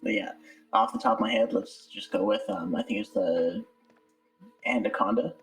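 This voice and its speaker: A man's voice: lowish voice, moderate pace, a bit bright, rough recording. A man being interviewed in a casual chat, speaking in a hesitant, thoughtful way. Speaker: interview guest, amateur voice actor. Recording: music playing behind. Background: background music.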